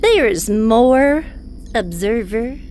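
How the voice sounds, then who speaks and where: An actress playing a long-suffering alien ship's officer in a comedic audio drama, dry, sarcastic and wearily officious. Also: female voice, a little high, lively intonation, very slow, darkish tone, a little hiss or hum. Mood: stern, exasperated.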